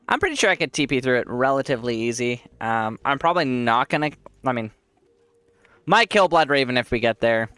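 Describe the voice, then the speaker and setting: A man's voice: medium pitch, lively intonation, moderate pace, bright, forceful tone, clean tone, no echo. A man with a congested voice, giving rambling casual commentary while he plays a game and laughs often. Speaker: streamer.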